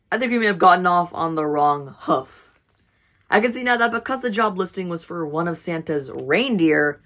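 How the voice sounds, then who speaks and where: A woman's voice: fairly low pitch, fairly bright tone. A woman voicing an eager, earnest, cheerful male moose in a storybook, politely formal as he applies for a job. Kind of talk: storybook read-aloud.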